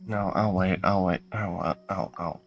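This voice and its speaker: A man doing casual livestream game commentary in an informal, halting way. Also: low-pitched, steady tone, highs cut off. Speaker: game streamer. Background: game music.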